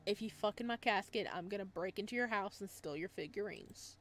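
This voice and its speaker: A woman's voice: medium pitch, brisk, lightly acted. A woman voicing a crude, teasing, dramatic best friend, reading visual novel dialogue with sassy banter. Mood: mock threatening.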